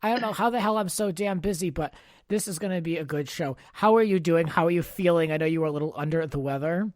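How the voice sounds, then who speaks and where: A man's voice: a little high, quick pace, dry recording, clean studio sound. A man hosting a podcast in casual chat, upbeat and informal, often saying "like". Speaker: podcast host.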